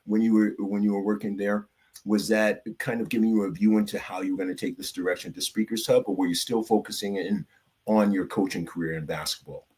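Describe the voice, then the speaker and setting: A man's voice: fairly low pitch, fairly even intonation, a little fast, darkish tone. A man hosting an interview over a video call, asking friendly, curious questions and laughing easily. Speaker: interview host. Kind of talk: casual interview conversation.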